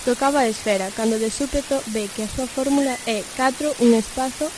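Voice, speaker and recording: A woman's voice: on the high side, brisk. A young woman reading scripted storytelling narration for a school radio play. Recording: noisy, hissy amateur recording.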